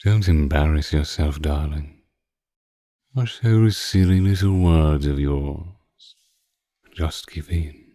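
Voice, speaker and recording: A man playing an ancient, suave vampire lord in a scripted roleplay drama, speaking with deliberate, condescending menace and archaic phrasing. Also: a bass voice, mellow, no echo, clean studio sound. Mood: coaxing, menacing. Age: older. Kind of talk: scripted roleplay audio drama, intimate close-mic monologue.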